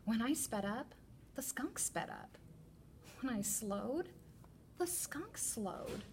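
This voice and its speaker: Lady librarian doing a kids read aloud, bit of fry in her voice, expressive, faint noise behind. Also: on the high side. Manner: deliberate, expressive storytelling to children. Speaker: teacher librarian. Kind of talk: children's picture book read-aloud.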